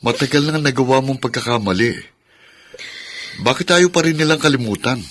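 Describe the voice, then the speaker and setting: Man acting a bright-voiced, protective Filipino cousin. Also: medium pitch, a little fast, natural voice. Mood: indignant. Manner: earnest, sympathetic. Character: protective, consoling older cousin, indignant on her behalf. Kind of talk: Filipino radio drama acting.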